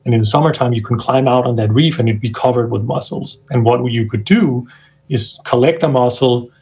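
Guest man from Denmark, husky voice, thoughtful and searching for words, video call. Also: medium pitch, fairly quick, a little mellow. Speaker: guest scientist. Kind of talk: casual interview talk.

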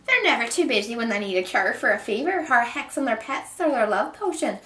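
A woman's voice: a little high, big pitch swings, fairly quick, a bit bright, distant mic, voice pushed up. A woman voicing a grumpy, proud old village witch from a storybook, scolding emphatically. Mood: indignant. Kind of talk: dramatic storybook read-aloud. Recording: roomy echo, some background noise.

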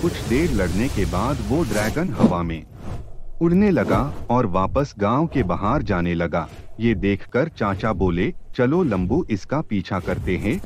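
Low-voiced man narrating with lively intonation. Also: noisy background. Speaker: storyteller. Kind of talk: scripted children's story narration.

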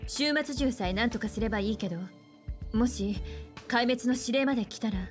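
A woman's voice: a little high, normal speed, faint music behind, natural voice. An actress playing a composed, assured woman who mentors a space crew in a Japanese anime game.

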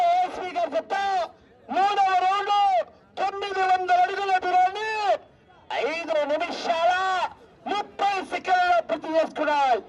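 A man giving loud, exclamatory live race commentary as an announcer, chanting repeated cries and calling out names. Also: extremely high pitch, muffled recording. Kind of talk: excited live race commentary with shouted cheers and name announcements. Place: outdoors at a rural race ground. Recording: distant outdoor sound, loud crowd and vehicle noise. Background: loud crowd, traffic and outdoor noise.

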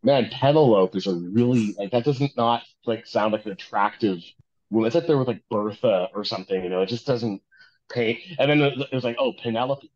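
A man in casual podcast chat over a video call, speaking colloquially with animated repetition. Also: fairly low pitch, fairly quick. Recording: dull lo-fi call audio.

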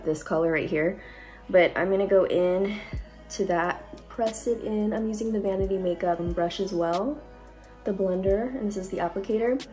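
Young woman beauty vlogger, cold-deepened voice, chatty, phone mic at home, faint music under. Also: darkish tone. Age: young adult. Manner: chatty, lots of likes and ums. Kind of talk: casual makeup tutorial. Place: bright room at home. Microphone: phone camera at close range.

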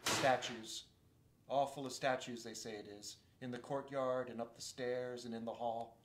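A folksy, earnest male beaver from a storybook, talking loud and emphatic in a rustic way, as a man reading aloud puts him on. The voice rings a little in a roomy space. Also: medium pitch, steady tone, normal speed, natural voice. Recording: roomy echo.

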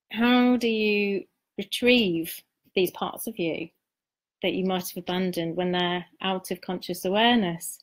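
A middle-aged woman giving an informal, personal live-stream talk as a coach, speaking in a reflective, confiding way with halting pauses and repeated words. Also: medium pitch.

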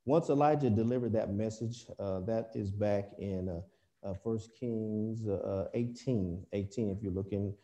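A man preaching a Bible lesson over a video call, halting often with fillers and restarts. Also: on the low side, dark tone.

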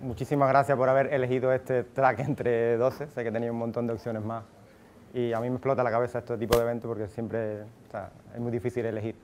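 A man's voice: mid-range voice, flat delivery, fairly quick, darkish tone, a little hiss or hum. A man in his thirties from Cuba with a Cuban accent, giving a casual conference talk to a live audience on a handheld mic, full of fillers and restarts. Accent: Cuban Spanish. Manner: casual, thinks aloud with many fillers and restarts. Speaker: conference speaker. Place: conference room, audience present. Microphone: handheld microphone.

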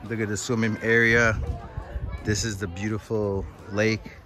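American male vlogger, informal and excitable, addressing viewers directly; handheld phone outdoors, music underneath. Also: fairly low pitch, measured pace. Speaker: fishing vlogger. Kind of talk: casual excited vlog commentary. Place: outdoors by a lake and park.

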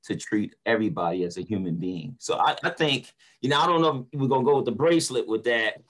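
A man's voice: mid-range voice, lively intonation, fast talker, a bit bright. An older man giving earnest, inspirational talk as a motivational speaker on a video call. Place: indoor room on a video call.

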